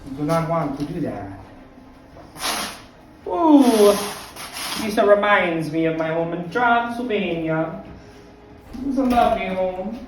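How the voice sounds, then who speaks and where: A man's voice: high voice, normal speed, recorded from a distance, background noise, lightly acted. A man playing a rambling old vampire count who guides viewers through a craft project and keeps drifting into anecdotes. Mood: instructive. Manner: digressive, wanders into anecdotes. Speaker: craft tutorial host in character. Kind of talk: in-character crafting tutorial. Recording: very echoey room, mic from afar. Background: music playing.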